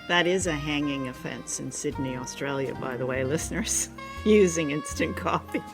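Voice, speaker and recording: A woman's voice: deep voice, normal speed. A woman from Canada speaks as a podcast co-host. She talks in a casual, conversational style, with some music in the recording. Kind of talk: casual discussion.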